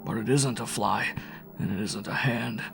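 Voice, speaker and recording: A man's voice: fairly low pitch, normal speed, gravelly, music in the background. A man voicing a delirious, terrified young man who sobs and cries out wildly. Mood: raving. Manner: sobbing, crying out wildly.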